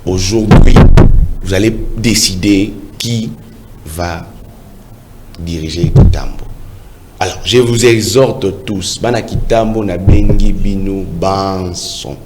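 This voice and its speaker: A low-pitched man with a Congolese French accent speaks in an earnest, exhorting campaign style, moving between French and Lingala. The recording carries loud background noise throughout. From Kinshasa, Congo. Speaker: municipal council candidate. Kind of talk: campaign interview.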